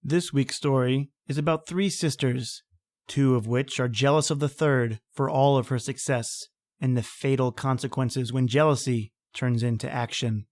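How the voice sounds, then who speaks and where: A man's voice: mid-range voice, fairly even intonation, normal speed. A man hosting a podcast, reading a scripted introduction. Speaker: podcast host.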